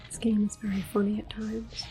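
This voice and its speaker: A young woman whispering gentle, unhurried commentary while playing a video game for an ASMR video. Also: mid-range voice, level tone, faint music behind. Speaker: ASMR gaming host.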